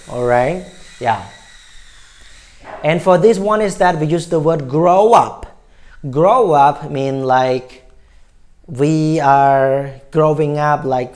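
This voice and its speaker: A man's voice: fairly high pitch, darkish tone. A man with a Cambodian accent teaching English phrases in a casual, repetitive way, often checking in with "all right?". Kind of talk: casual spoken lesson.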